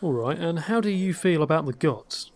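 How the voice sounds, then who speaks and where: An actor playing a polite, reassuring adventurer who leads interviews in a fantasy audio drama. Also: male voice, on the high side, animated, normal speed, lightly acted. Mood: diplomatic. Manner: polite, diplomatic. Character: courteous, reassuring male adventurer leading interviews.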